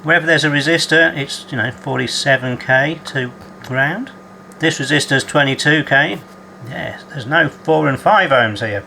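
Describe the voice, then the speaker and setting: Repair-channel host, male, slightly higher pitch, methodical and matter-of-fact. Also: moderate pace, creaky, sharp tone, slight background noise, low-quality recording. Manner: methodical, reads out measurements as he works. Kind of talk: calm narrated workshop repair. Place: home workshop.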